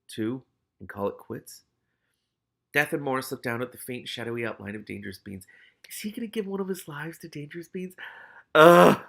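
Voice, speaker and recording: A man reading a story aloud as host, excitable and expressive, with casual, sweary reactions of his own. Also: fairly high pitch, fast, gravelly. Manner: excitable, animated.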